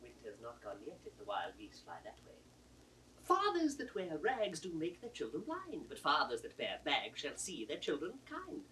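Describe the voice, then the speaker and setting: An actor playing a witty, loyal court fool, riddling and teasing in a singsong classical stage manner. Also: male voice, on the high side, huge pitch swings, moderate pace, pushed and bright, full character voice. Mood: mocking singsong. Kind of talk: classical Shakespearean stage acting. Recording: distant, hissy 1960s audio drama recording.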